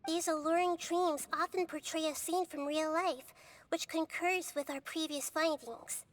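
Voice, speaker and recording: A woman voicing a gentle young goddess who speaks in a soft, measured, thoughtful way. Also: extremely high pitch, fairly even intonation. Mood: explaining. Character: gentle young female god, calm and wise.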